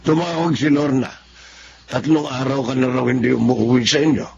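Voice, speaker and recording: A man's voice: medium pitch, fairly even intonation, moderate pace, creaky, darkish tone, highs cut off, slight background noise, close to an everyday voice. A man with a Filipino accent playing a sensible confidant giving counsel in a radio drama. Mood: concerned, reasoning.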